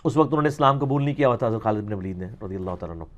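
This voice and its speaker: A man's voice: on the low side, expressive, muffled recording. A man with a Pakistani Punjabi accent giving an informal religious question-and-answer talk, explaining emphatically in colloquial speech and checking that the listener follows.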